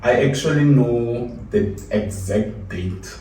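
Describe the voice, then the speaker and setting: A man's voice: medium pitch, slow, warm tone, recorded from a distance. A South African man chats casually as a guest, telling his own story with self-deprecating humour. The room is very echoey, and he sounds a little off the mic with faint background noise. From South Africa, grew up in Giyani. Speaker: podcast guest, YouTuber. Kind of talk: casual chat, telling personal stories.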